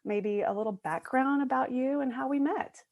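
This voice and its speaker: A woman hosting her own podcast, introducing a guest and asking questions in an eager, excitable way. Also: moderate pace, narrow, tinny audio. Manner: eager, excitable, with sudden upward jumps in pitch. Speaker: podcast host and empath coach.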